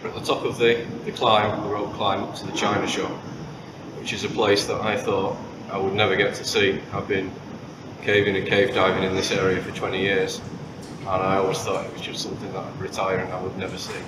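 A man's voice: sits low, completely flat delivery, fairly quick. A man, a cave diver, speaking from inside a cave about reaching a long-sought spot. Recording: distant, echoey, loud noise.

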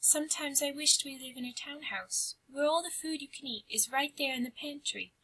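A woman voicing an excitable little female country mouse, wistful and eager, in a storybook reading. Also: high-pitched, normal speed, voice pushed up, low-quality recording.

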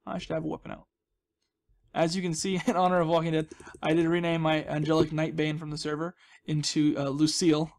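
Male gaming host, slightly high register. Chatty, jokey, self-deprecating, loose off-the-cuff play commentary. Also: flat delivery, fairly quick, a little mellow. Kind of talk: casual rambling gameplay commentary.

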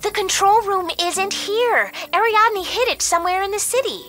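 An excitable young woman agent in a video game. Her voice sits high and jumps around as she eagerly explains what she has worked out. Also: normal speed, faint music behind, exaggerated voice. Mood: excited realization.

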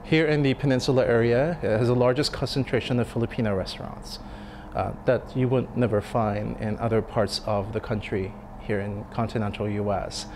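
A man in his thirties, a restaurant chef, speaking in a sit-down interview, thoughtful and halting with many fillers and restarts. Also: medium pitch, fairly even intonation, background noise. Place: dim restaurant dining room. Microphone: lapel mic.

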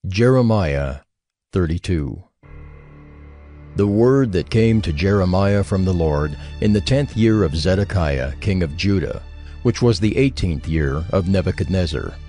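A man narrating scripture from a script in a measured, formal manner. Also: sits low, lively intonation, dark tone. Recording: music behind the voice.

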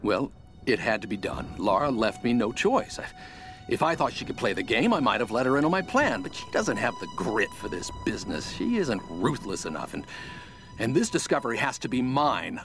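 Vain, self-serving middle-aged schemer for a game audio diary. Gravelly, very animated, theatrical and halting, over music. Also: male voice, medium pitch. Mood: cold, scheming. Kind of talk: dramatic video game audio journal.

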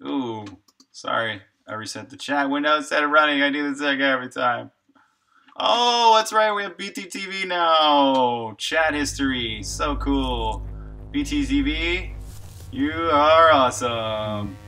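Bright-voiced man thinking aloud while coding. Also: mid-range voice, a little slow. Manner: casual, mutters through code and reacts to chat. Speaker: indie game developer streaming his work.

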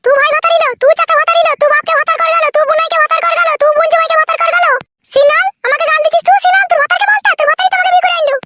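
Tiny-voiced, brash cartoon young wife. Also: female voice, steady tone, racing, background noise. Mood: defiant. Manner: shrill, taunting, mocking retorts. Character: brash, combative young village second wife, defiant. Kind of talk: comic cartoon dialogue.

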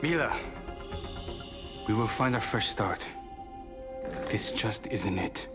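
Intense, expressive young hacker. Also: male voice, fairly low pitch, takes it slowly, loud music behind the voice. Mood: alarmed, cautious. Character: wary young male hacker, anxious and intense. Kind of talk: dramatic animated game cinematic acting.

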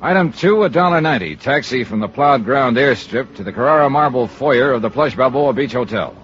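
An actor playing a wry, hard-boiled American insurance investigator in 1950s radio style, with dry, sardonic, clipped delivery. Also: male voice, mid-range voice, lively intonation, brisk, fairly bright tone, close to an everyday voice. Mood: dry narrating. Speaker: radio drama lead and narrator. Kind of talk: 1950s American radio detective acting, clipped first-person narration. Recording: muffled old radio recording, organ music.

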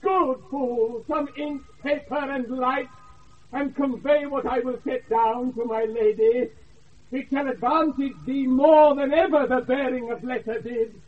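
A British actor playing an indignant, pompous steward imprisoned as mad in a radio Shakespeare play, pleading and declaiming. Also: male voice, very high voice, steady tone, measured pace. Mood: earnest pleading. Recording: tinny, distant off-air radio recording.

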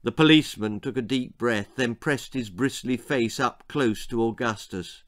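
A man in his sixties reading a children's story aloud in an expressive, theatrical way. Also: mid-range voice, moderate pace. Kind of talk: dramatic children's story narration. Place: small book-lined room indoors.

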